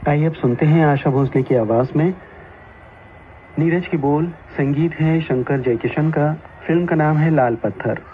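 A man's voice: fairly high pitch, measured pace. Man announcing over noisy, tinny radio. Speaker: radio music programme announcer. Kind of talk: formal radio presenting.

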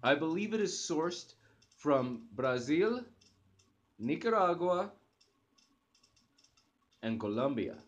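A man's voice: on the high side, big pitch swings, takes it slowly, pauses often. A young man hosting a casual coffee review to camera from his living room sofa, talking enthusiastically with hesitant restarts and fillers, recorded on a close webcam. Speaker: channel host reviewing coffee. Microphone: webcam at close range.

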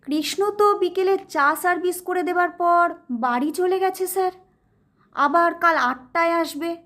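Woman as a high-voiced, polite hotel manager. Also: measured pace, fairly bright tone. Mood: surprised, firm. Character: polite but firm male hotel manager.

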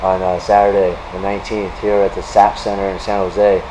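A man's voice: sits low, little pitch movement, fairly quick, noisy background. A young man hosting and interviewing a fighter in a large indoor gym, hyping him up with enthusiasm. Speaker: interviewer and show host. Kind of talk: casual interview.

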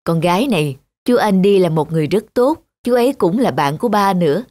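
An actor voicing a patient, loving father in a cartoon, gently coaxing as to a child. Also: medium pitch, expressive, a little fast, no room sound, lightly acted. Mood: soothing. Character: patient, loving father soothing his young daughter. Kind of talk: cartoon voice acting. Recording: music clearly behind the voice.

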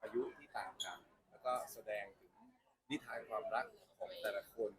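A man, a music boss, giving a casual press interview in a halting way, repeating words, with a crowd around him. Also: fairly low pitch. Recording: busy crowd chatter behind.

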